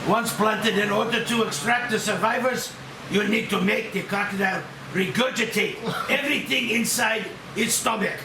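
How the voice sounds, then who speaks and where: A man's voice: sits high, normal speed. A man playing a blunt, technical animal expert briefing a dangerous mission in a screenplay table read. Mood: instructive, grave. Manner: punctuates explanations with "Oi!". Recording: roomy, distant mic, clear background hiss.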